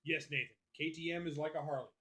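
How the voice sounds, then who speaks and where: A man hosts a casual live stream, answering his viewers one by one in a loose, profane way. His voice sits a bit high for a man. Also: fairly even intonation, fast talker, sharp tone. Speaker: livestream host.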